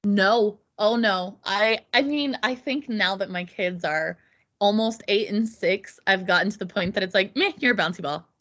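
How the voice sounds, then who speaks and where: A woman in her thirties speaks as a podcast guest, recounting her own experiences. Her delivery is earnest and reflective, in a voice of middling pitch. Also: normal speed, fairly bright tone, highs cut off. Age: 30s. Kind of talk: casual conversation.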